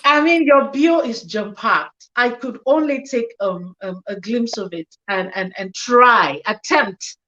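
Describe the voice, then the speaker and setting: A woman's voice: on the high side, measured pace, a bit bright, lo-fi sound. A woman from Cameroon hosting an online interview show, playful and teasing, often laughing with her guest. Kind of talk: lively hosted interview.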